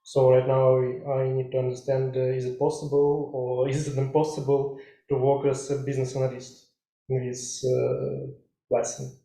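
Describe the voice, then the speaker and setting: Flat, mellow young man in an echoey room. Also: mid-range voice, moderate pace, gravelly. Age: 20s. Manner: quiet, hesitant, trailing off. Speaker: workshop participant. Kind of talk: self-introduction.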